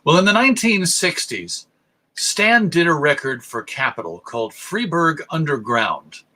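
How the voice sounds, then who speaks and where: An American man presenting an informal public lecture, speaking in deliberate, emphatic phrases with pauses. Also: a little high, big pitch swings, sharp tone. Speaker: presenter, radio show host.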